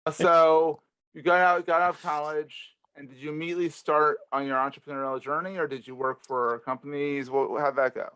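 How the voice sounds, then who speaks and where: A man's voice: a little high, level tone, fairly quick, bright, forceful tone, clean tone, dry recording, rough recording. A man hosting a podcast, interviewing in a casual, conversational way. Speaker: podcast host.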